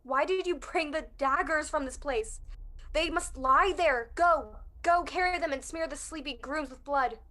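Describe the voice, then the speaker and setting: A woman's voice: high voice, a little slow, bright, forceful tone. A young woman playing a steely, scornful noblewoman who commands her partner in murder, in emphatic amateur Shakespearean verse. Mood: urgent, scolding. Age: young adult. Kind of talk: amateur student Shakespeare performance.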